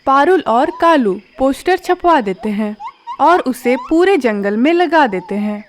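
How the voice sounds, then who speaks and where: A woman narrating a cartoon story in a steady, clear storytelling manner. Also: high voice.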